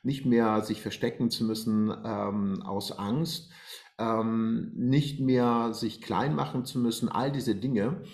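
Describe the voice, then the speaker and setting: A man's voice: medium pitch, fairly even intonation, slow, a little mellow. A man in his forties from Iran, a guest telling his life story on a video call, talking hesitantly with many fillers. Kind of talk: personal life story in interview. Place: bright room at home, indoors. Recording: roomy echo.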